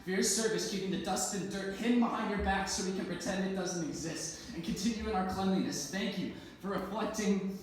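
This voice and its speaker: Male slam poet, high voice, rhythmic wordy delivery, distant in a very echoey room. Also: a little fast, a little hiss or hum. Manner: rhythmic, densely worded recitation. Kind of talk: spoken-word poetry performance. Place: echoey indoor event space, live stage performance.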